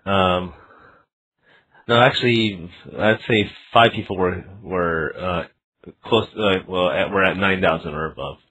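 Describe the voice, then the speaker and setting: A man interviewing someone casually over the phone as a developer, talking in a halting way and often saying "you know". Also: sits low, bright, forceful tone, low-quality recording. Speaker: interviewer and developer of a learning game. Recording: phone interview with clear background noise.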